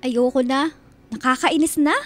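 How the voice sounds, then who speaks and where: Actress as aggrieved schoolgirl daughter, radio drama, very high voice, tearful protest. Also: moderate pace, faint music behind, close to an everyday voice. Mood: hurt, angry. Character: hurt, resentful schoolgirl daughter. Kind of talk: Filipino radio drama acting.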